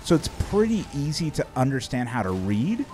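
A man's voice: medium pitch, very animated, normal speed, over music. A man in his thirties, streaming a game from a small room at home, chatting casually into a studio mic, rambling with lots of fillers and restarts. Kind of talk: casual chat while gaming. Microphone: studio mic in front of face.

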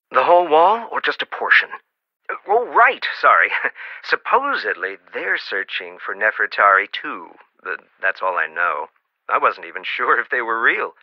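A wry, encouraging archaeologist in a mystery game, with a lively rise and fall to his voice. The sound is dry and slightly dull, like lo-fi game audio. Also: mid-range voice, dry recording. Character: dry-witted male archaeologist, middle-aged, wry and encouraging. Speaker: archaeologist on the phone to the player. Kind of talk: video game voice acting.

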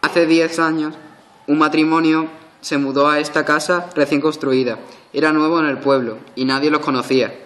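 A man acts out an old man who is secretly a ghost in an amateur audio drama. He is grave and insistent, telling his tale solemnly in a slightly high-pitched male voice. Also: level tone, normal speed, fairly bright tone, natural voice.

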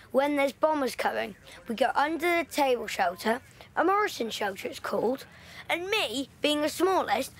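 A woman's voice: sits high, heavily acted. A woman playing an earnest wartime schoolboy in an audio play, talking in a hesitant, thoughtful way. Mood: lively.

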